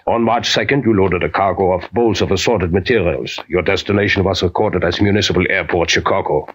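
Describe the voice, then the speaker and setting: A man's voice: deep voice, a little fast. An actor playing a secretive, formal businessman with stiff, precise speech in a 1940s radio drama. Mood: coolly insistent. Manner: stiff, precise, avoids contractions. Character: formal, secretive, smoothly insistent businessman with shady dealings. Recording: dull, lo-fi old radio recording.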